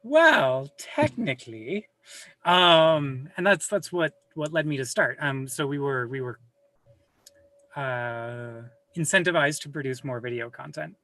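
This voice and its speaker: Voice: mid-range voice, expressive, measured pace, sharp tone, no room sound. A guest on a video call chatting casually, rambling and self-deprecating, with casual swearing, recorded at home. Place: home room with a server rack.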